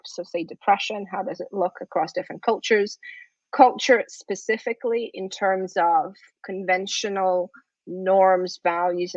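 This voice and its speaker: A woman's voice: mid-range voice, fairly bright tone, no room sound. A woman whose first language is Russian gives an academic workshop talk on a video call, conversational and often checking in with "right?". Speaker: workshop presenter. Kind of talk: academic lecture. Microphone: computer microphone on a video call. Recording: dull, lo-fi online conference audio.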